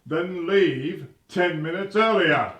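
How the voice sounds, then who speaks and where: A man's voice: high voice, slow, a bit bright, a little hiss or hum. A man playing an officious, self-important radio stage manager who nags and fusses over his cast in a comedy. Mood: bossy, impatient. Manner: officious, nagging. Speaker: radio producer. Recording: roomy echo.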